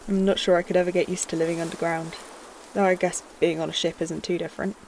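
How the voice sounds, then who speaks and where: Woman as a quick, flat-toned wry space newcomer. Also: on the low side, a little hiss or hum, natural voice, low-quality recording. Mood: wry, uncertain. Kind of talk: science-fiction audio drama acting.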